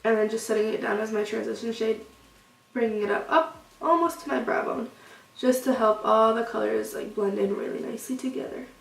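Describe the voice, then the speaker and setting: A woman's voice: on the high side, brisk, gravelly. A young woman with a cold, sniffling as she chats loosely through a casual makeup tutorial. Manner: chatty, loose, many "like" fillers. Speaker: beauty vlogger. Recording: roomy echo, distant mic, faint hiss.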